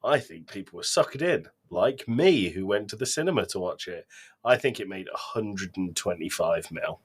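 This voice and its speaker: Animated, husky low-voiced man. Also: normal speed. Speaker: podcast co-host. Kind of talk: casual banter.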